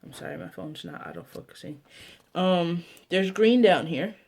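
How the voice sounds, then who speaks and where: A woman's voice: on the low side, normal speed, creaky, a little mellow. Young American woman chatting casually, rambling. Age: 20s. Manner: rambling, self-interrupting, trailing off. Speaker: video blogger. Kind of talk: casual vlog chat.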